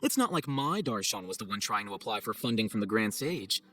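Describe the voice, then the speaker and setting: A man playing an arrogant young scholar in a video game, composed and deadpan, with a condescending dryness. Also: lowish voice, a little fast. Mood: smug, dismissive. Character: cool, arrogant young male scholar, dry and sardonic.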